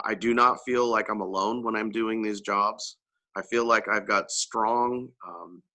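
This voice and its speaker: Earnest man with a lowish, very bright voice, heartfelt panel remarks over a video call. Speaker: panelist, public servant.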